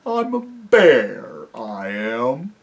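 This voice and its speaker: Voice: medium pitch, very animated, exaggerated voice. A narrator doing a thick-voiced, sleepy old bear. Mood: sleepy, polite. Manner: slow, drawn-out delivery. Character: eldest bulgy bear, sleepy, slow, polite, stubborn.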